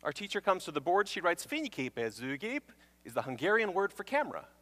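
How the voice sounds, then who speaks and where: Lively, bright-voiced man on a stage. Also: high-pitched, fast. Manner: animated and self-mocking storytelling. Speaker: speaker. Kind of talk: stage talk with personal stories. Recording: long hall reverb.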